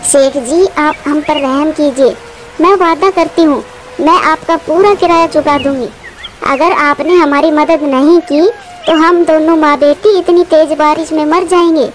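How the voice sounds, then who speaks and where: Woman as a high, plaintive mother bird. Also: fairly even intonation, measured pace, a little hiss or hum. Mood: pleading. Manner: plaintive, humble. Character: poor, pleading widowed mother bird. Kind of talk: Hindi cartoon dialogue.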